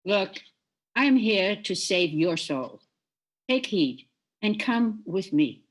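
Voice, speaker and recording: A woman playing a calm, gentle but commanding spirit in a radio play, with a measured, solemn delivery. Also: mid-range voice.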